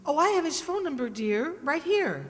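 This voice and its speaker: A woman playing a scheming, jealous, doting wife in an old-time radio sitcom, theatrically expressive. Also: sits high, moderate pace. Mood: sweetly goading. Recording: echoey hall.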